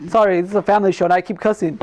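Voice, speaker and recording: A man's voice: high voice, level tone, rapid-fire, a little hiss or hum. An American man hosting an unscripted talk show in a casual, informal way, often repeating himself.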